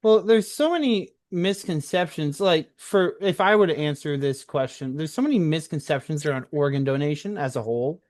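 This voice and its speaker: A man hosts a casual interview in a fairly high voice. He speaks earnestly about his own experience, repeating words for emphasis and seeking agreement. The sound is close and dry, with almost no room. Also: animated, normal speed, hardly any pauses, darkish tone, no room sound. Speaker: podcast host who shares own experience.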